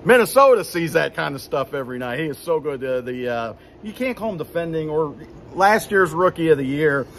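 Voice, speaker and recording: A man's voice: a little high, animated, brisk, a bit bright, a little hiss or hum. A man in his fifties, hosting a casual postgame recap in a hockey arena, rambling with many fillers and restarts. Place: indoor hockey arena beside the rink. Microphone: phone camera at arm's length.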